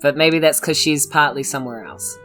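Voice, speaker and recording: A woman's voice: low-pitched, moderate pace, music in the background. A woman with a New Zealand accent chatting and joking casually as a tabletop game player. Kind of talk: casual chat, joking banter.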